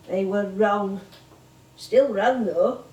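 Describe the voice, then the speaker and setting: An actress playing a stubborn, wry old music-hall comedienne with a northern English accent in a stage drama. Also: female voice, mid-range voice, very slow, a little mellow. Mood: wry, reminiscing. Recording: echoey theatre, distant mic.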